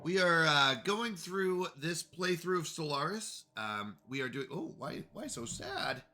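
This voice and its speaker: Man, congested, bright tone, casual stream chat, faint background music. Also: fairly high pitch, big pitch swings, a little slow. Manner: chatty, greets chat viewers. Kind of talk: casual live game commentary.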